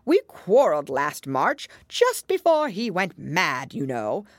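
Casting: woman as mad storybook gentleman, theatrical and contemptuous, loud high voice leaping wildly in pitch. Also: wildly swooping pitch, slow pace, dry recording, heavily acted. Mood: wistful, theatrical. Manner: loud, theatrical, swooping delivery. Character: mad male Hatter, contemptuous, argumentative, mournful tea-party guest.

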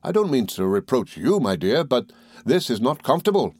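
A man voicing an earnest, loving young husband in an audiobook, reasoning gently and formally. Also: mid-range voice, big pitch swings, normal speed, husky, no room sound, studio quality. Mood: grave, reasoning. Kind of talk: audiobook character dialogue.

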